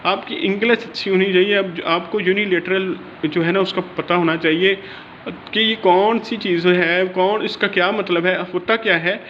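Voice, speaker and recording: A man with a Pakistani accent giving an informal exam-prep law lecture in an explanatory way, slowing haltingly over formal English wording. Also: high-pitched, fairly even intonation. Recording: dull lo-fi sound, faint background noise.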